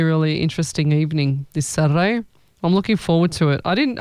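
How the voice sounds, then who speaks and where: A man, a psychic medium hosting a live stream, chatting in a rambling, excitable way. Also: sits high, clean tone, no room sound. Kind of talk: live-stream reading chat.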